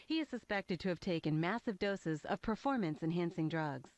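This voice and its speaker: A woman playing a brisk newsreader. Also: lowish voice, animated, a little mellow, lightly acted. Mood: formal.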